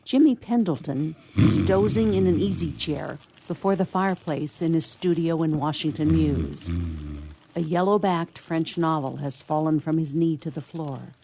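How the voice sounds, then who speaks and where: A woman's voice: low voice, normal speed, creaky, warm tone, slight background noise. A woman narrating a scripted radio play.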